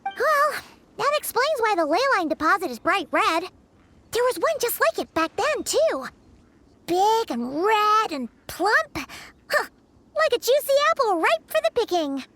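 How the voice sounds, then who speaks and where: A woman voicing a small, cheeky, excitable childlike fairy companion in a video game, with a squeaky, cutely exaggerated delivery. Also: measured pace, exaggerated voice. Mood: cheeky musing. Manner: cute, exaggerated, speaks of herself in third person.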